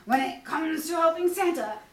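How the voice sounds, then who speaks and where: A woman voicing a bouncy, boastful, exuberant male toy tiger with loud storybook exclamations. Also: extremely high pitch, lively intonation, normal speed, voice pushed up. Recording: distant echoey room with faint noise.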